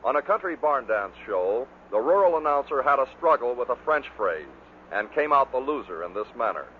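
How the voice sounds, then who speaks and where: The voice is an American man narrating from a script. His pitch is average. The old recording is narrow and muffled, with faint noise behind him. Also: big pitch swings, brisk. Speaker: narrator of a radio bloopers compilation.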